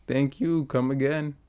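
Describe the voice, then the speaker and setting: A man's voice: mid-range voice, darkish tone, clean tone. A man giving a casual, slangy, exclamatory live reaction as he streams game trailers. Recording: music behind the voice. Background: game trailer music.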